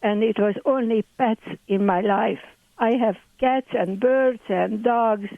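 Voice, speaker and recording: A woman's voice: on the high side, slow, gravelly, dry recording. An older woman in her eighties speaks with a strong Hungarian accent. She chats freely in broken English and laughs easily. Her voice comes through a thin, tinny phone line with no room sound around it. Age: 80s. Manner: chatty, broken English grammar, laughs often. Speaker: guest landlord. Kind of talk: phone interview chat.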